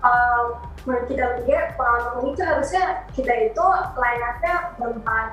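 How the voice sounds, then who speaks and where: A young woman in a girl group giving relaxed, plain answers in an interview. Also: on the high side, fairly quick, faint music behind. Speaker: girl group member, guest. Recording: very echoey, mic far off.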